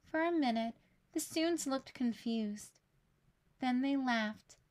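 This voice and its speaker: A woman reading a children's picture book aloud in an expressive storytelling style. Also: high voice, takes it slowly.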